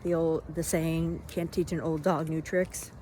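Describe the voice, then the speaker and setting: A woman giving an unscripted motivational monologue in a reflective way, in halting phrases with deliberate pauses. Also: low voice, little pitch movement, mellow. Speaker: vlogger giving self-help reflections. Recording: noisy, vehicle-like ambient sound.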